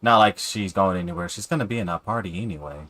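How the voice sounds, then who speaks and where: A man's voice: low voice, quick pace, a bit bright. A man doing casual, chatty live game commentary, reacting aloud to what happens. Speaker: game streamer. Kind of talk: casual live gameplay commentary, reading screen text. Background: game music.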